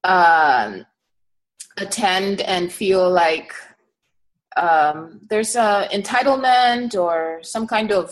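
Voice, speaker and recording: A middle-aged woman from East Africa, a herbalist guest speaking in a relaxed, earnest interview and pausing often to think. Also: medium pitch, rough recording. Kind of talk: casual conversational interview.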